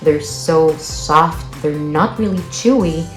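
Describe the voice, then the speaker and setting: A woman from Peru speaks in a very low voice, recorded in an echoey room. She sounds eager and persuasive as she recommends food. Her delivery is warm with enthusiasm and encouragement. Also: takes it slowly, faint music behind.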